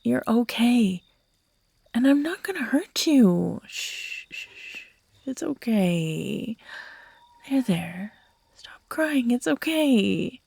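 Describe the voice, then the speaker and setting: A woman playing an obsessive, unhinged yandere who is tender and menacing by turns, speaking in an intimate, halting, breathy ASMR roleplay style that often drops into whispers. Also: a little high, big pitch swings, a little mellow, dry recording, full character voice. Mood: panicked soothing. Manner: slow, halting, cooing, broken by giggles and sighs.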